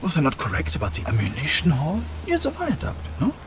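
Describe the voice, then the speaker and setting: An actor playing a self-serving wartime informant in a video game, theatrical, smug and wheedling. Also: male voice, a little high, huge pitch swings, a little fast, noisy background. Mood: wry. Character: wily, self-serving male wartime informant, a turncoat officer. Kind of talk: video game cutscene acting.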